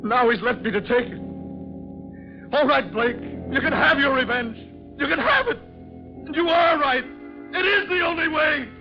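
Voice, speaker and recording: An actor playing a guilt-crazed, doomed man in melodramatic, halting 1940s radio horror style. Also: very high-pitched. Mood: anguished resignation. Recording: muffled old radio recording with music.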